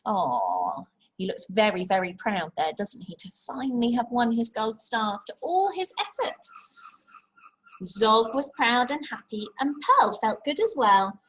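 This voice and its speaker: A woman's voice: on the high side, very animated, a little slow, a bit bright, poor audio. A woman teacher reading a picture book aloud to young children, expressively, with simple chatty asides and questions. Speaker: infant school teacher.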